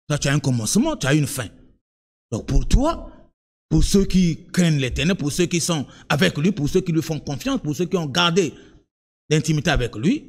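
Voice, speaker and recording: A man preaching a sermon in French, which is not his first language, moving from low, direct asides to high, sung-out cries of emphasis. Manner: repeats words and restarts, talks to the listener directly. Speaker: apostle preaching.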